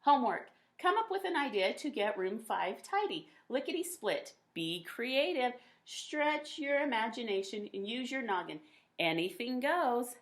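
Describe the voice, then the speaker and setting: A woman's voice: on the high side, very animated, a little slow, fairly bright tone, exaggerated voice. A woman voicing a folksy, cheerful, easily flustered woman schoolteacher from a storybook, with homespun, emphatic exclamations. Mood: brisk, encouraging.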